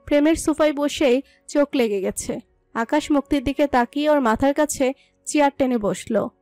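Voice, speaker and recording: Female narrator, high-pitched, scripted romantic story, dry close sound, music bed. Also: normal speed, no echo. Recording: dry voice over soft music.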